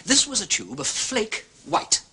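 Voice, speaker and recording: Casting: urbane aristocratic English detective, highish bright voice, very expressive, articulate and assured. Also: male voice, a little slow, a little hiss or hum, full character voice. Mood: emphatic, animated. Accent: upper-class English. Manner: urbane, articulate, emphatic explaining. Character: eloquent aristocratic gentleman sleuth, confident and precise. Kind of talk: British detective drama acting.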